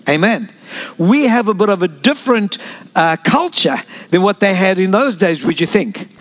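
A man's voice: high voice, sing-song, normal speed, fairly bright tone. A man born in South Africa preaching a sermon as pastor, sprinkling amens and asides to the congregation.

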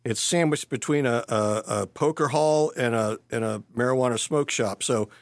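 Man in his early sixties, pastor, halting speech, dry close sound. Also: medium pitch, no echo. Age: 60s. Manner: hesitant, many fillers and restarts. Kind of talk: casual interview conversation.

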